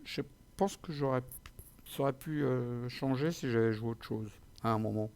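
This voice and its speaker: A man's voice: medium pitch, moderate pace, a little mellow. A man hosting his own channel, chatting casually after a card game match, often stumbling over words. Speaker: player and channel host. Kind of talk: casual post-match chat.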